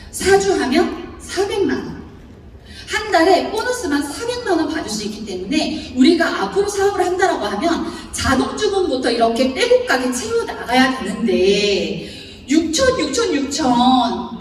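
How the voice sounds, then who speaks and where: A woman's voice: sits high, a little hiss or hum. A woman with a Chungcheong accent giving a seminar lecture to a large audience, often laughing and putting questions to the crowd. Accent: Chungcheong Korean. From Seocheon, South Chungcheong, Korea. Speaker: seminar speaker. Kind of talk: seminar lecture explaining a bonus chart. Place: large lecture hall before about 800 people. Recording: echoey hall recording from far off.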